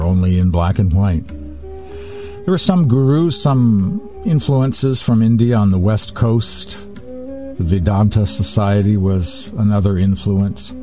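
A man hosting a spiritual radio talk, reading and explaining teachings in deliberate, short phrases. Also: lowish voice. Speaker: radio host.

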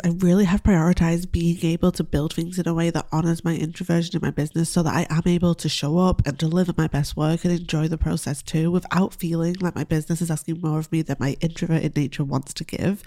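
A woman hosting a solo podcast as a business coach, talking in a gentle, reflective, earnest way while congested from a cold. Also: lowish voice.

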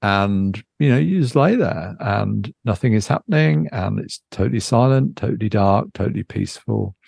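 A man's voice: fairly low pitch, lively intonation, normal speed, creaky, a little mellow, breathy edge, dry recording. A British man chatting casually and unscripted as a podcast co-host, thinking aloud in a hesitant, reflective way.

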